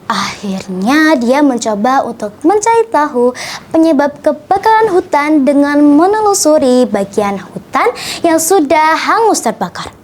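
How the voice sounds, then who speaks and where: A young female storyteller, reciting a children's tale in an expressive, deliberate, theatrical way. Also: high-pitched, slight background noise.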